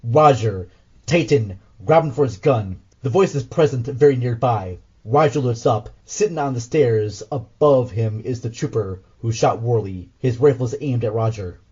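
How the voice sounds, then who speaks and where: A man's voice: medium pitch, normal speed, darkish tone, husky, lo-fi sound. A man reading scripted audio drama narration as the host.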